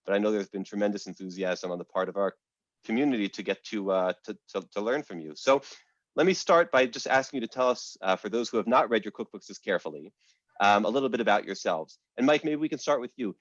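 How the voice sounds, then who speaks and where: A man hosting and introducing guests over a video call, speaking in a measured way with frequent "uh" fillers. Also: on the low side, lively intonation, no room sound. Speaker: academic host and moderator. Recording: dull, lo-fi video call audio.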